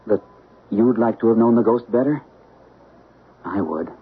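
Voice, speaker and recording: An actor playing a skeptical, curious husband in a 1970s radio drama, with a husky voice. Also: male voice, fairly low pitch, a little slow, full character voice. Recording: tinny old radio broadcast.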